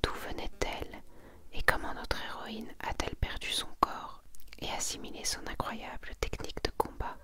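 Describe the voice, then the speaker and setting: Female ASMR creator, whispered and breathy delivery, gentle and unhurried, for intimate read-aloud and chat. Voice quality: whispered, breathy, almost entirely unvoiced. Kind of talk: soft whispered ASMR, presenting and reading manga summaries.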